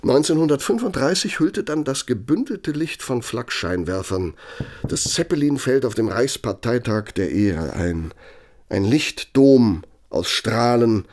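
An actor in a radio play as an aging, self-justifying architect with a Nazi past, speaking deliberately and emphatically in a gravelly, husky voice. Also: male voice, medium pitch, expressive, a little mellow, natural voice. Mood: defiant, nostalgic. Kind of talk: German radio play monologue and dialogue.

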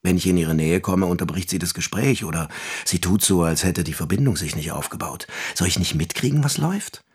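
A man's voice: sits low, lively intonation, a little fast, husky, full character voice. A man playing a jealous, suspicious partner who sulks and needles through a questioning monologue. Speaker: actor in a radio drama. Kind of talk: dramatic first-person monologue.

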